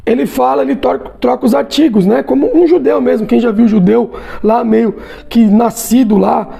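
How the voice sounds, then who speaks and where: A man's voice: very high voice, fairly even intonation, moderate pace, gravelly, warm tone, breathy edge. A Brazilian man giving a casual comic book review, chatty and tagging his sentences with little check-ins. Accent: Brazilian Portuguese.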